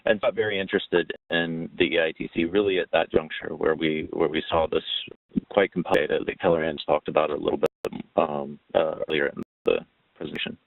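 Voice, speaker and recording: A man giving an informal explanatory presentation in a halting way, pausing mid-sentence, over a muffled phone-like line. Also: extremely low pitch, steady tone, creaky, dry recording. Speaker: webinar presenter.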